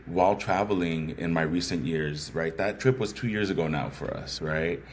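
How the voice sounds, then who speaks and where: Very low-voiced man, thoughtful guest storyteller, light background hiss. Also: normal speed. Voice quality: bassy voice. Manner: earnest, thoughtful, frequent "right?" asides. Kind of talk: reflective conversational storytelling.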